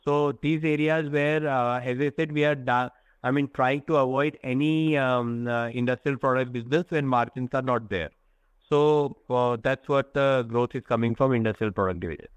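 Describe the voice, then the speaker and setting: A man, a company executive explaining results to analysts in a halting, candid way. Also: medium pitch, steady tone, clean tone, dry recording, dull audio. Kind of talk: earnings call answers.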